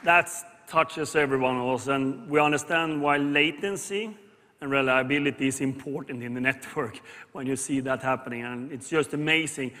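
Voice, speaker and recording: A man's voice: medium pitch, moderate pace, sharp tone, slight background noise. A man in his fifties with a Swedish accent, hosting a keynote on a large arena stage with a headset mic, enthusiastic and loose with plenty of fillers. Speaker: company chief and keynote host. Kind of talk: unscripted keynote remarks. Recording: long hall reverb from arena.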